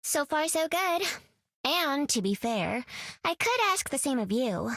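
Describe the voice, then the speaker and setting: A woman's voice: sits high, sing-song, a little slow, fairly bright tone, dry recording, full character voice. An actress playing an upbeat, confident young hero girl in a video game, casual and plucky.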